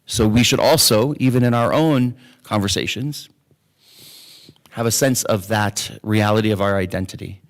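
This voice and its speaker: A man's voice: lowish voice, normal speed. A man, a rabbi, giving reflective opening remarks to a class in a synagogue chapel. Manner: reflective, thoughtful.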